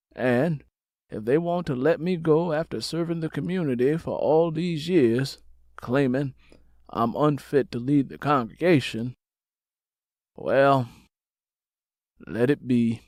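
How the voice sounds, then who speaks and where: A man voicing an audiobook figure, a troubled, stubborn older preacher father who speaks in slow, deliberate, sermon-like phrases. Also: no room sound, studio quality. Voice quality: deep, weighty.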